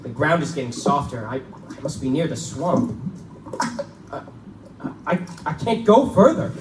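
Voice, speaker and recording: A man's voice: on the high side, big pitch swings, a little slow. An actor playing a desperate but resourceful hunted man, talking tensely to himself in short phrases in a pulp drama. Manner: tense muttered self-narration in short phrases. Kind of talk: live pulp radio-play acting. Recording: echoey live room, distant mic, loud noise.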